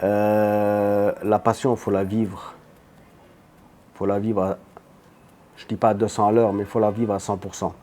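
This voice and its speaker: A man's voice: on the low side, flat delivery, unhurried, long pauses, warm tone. A man with a Senegalese French accent, a guest in an interview, talking in an earnest, emphatic way and often repeating his words. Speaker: guest, motorbike shop owner. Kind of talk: interview answers.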